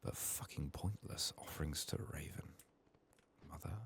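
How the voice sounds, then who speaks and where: A very low-voiced man gives soft-spoken ASMR game commentary, his voice crackling with fry and a little strained from being sick. He murmurs to himself in an unhurried way, with noticeable noise behind him. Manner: hushed, unhurried musing to himself. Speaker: video game streamer, ASMR host.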